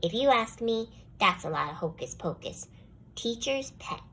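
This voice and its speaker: A woman voicing a young schoolgirl telling a first-person storybook tale with expressive, put-upon delivery. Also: a little high, moderate pace, slightly rough, heavily acted, rough recording. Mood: grumbling. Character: jealous young schoolgirl, grudgingly softening toward the dog. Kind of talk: scripted children's storybook read-aloud.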